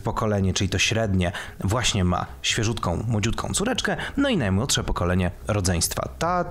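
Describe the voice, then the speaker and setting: A man's voice: on the low side, big pitch swings, moderate pace, a bit bright. A man hosting an informal film review programme, talking enthusiastically in list-like phrases. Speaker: host of a pop culture programme. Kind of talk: informal scripted-outline film review talk.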